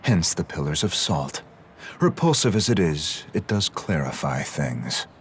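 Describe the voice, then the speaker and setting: A man playing a grim, coldly pragmatic hunter in a video game, speaking in a measured, formal, stern way. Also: extremely low pitch, very animated, slight background noise, full character voice. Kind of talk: dramatic video game voice acting. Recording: loud music under the voice.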